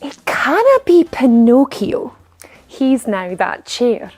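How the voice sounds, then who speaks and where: A woman with a north-east Scottish Doric accent playing a kindly, excitable fairy godmother, speaking in theatrical pantomime rhyme. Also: on the high side, takes it slowly, breathy edge, faint music behind, exaggerated voice. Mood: searching, puzzled. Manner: rhyming, exclaiming, theatrical. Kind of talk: pantomime rhyming monologue.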